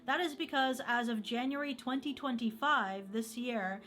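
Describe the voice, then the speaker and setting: A woman reading scripted true-crime narration for a video essay, with measured storytelling delivery. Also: on the high side, fairly bright tone.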